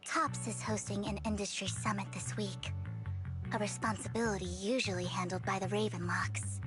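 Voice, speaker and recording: A woman's voice: medium pitch, close to an everyday voice. A woman voicing a sweet, devoted and formal young woman in a video game, polite and earnest. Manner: polite, earnest, cutesy delivery. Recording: clean game dialogue with music behind.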